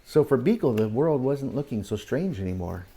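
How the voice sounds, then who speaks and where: A man teaching children through story time and Bible talk, speaking in an animated storytelling style with dramatic pauses and questions to young listeners. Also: mid-range voice, rough recording.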